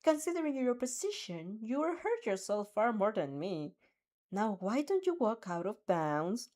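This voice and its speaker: A woman's voice: mid-range voice, very animated, measured pace, darkish tone. A woman voicing a cocky young heroine with shadow powers, speaking in a teasing, playful way.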